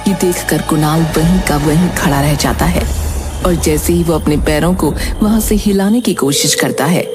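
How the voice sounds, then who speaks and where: A woman narrates a dramatic scripted story. Her voice sits fairly low, and background music plays clearly beneath it. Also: normal speed.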